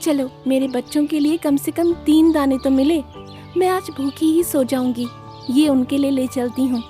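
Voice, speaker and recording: A woman's voice: high-pitched, normal speed, mellow, faint music behind, pitched above the performer's own voice, natural voice. A woman voicing a kind, selfless mother bird in a cartoon story, tender and motherly.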